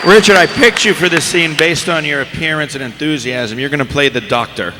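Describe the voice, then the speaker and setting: A man's voice: a little high, a little fast, a crowd in the background. A man casually hosting a live panel as moderator over a hall PA. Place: large convention hall stage. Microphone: stage microphone. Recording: echoey convention hall PA, faint noise.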